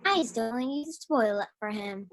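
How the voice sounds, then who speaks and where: Woman playing a high-voiced, reproachful farm sister. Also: expressive, moderate pace. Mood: bitter, reproachful. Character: reproachful, plain-spoken farm sister, bitter and protective. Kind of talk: live radio drama acting.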